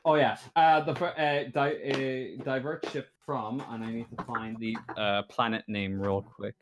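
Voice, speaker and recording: A man running a tabletop roleplaying game as game master, talking casually and often halting as he searches for his words. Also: medium pitch, fairly even intonation, slight background noise.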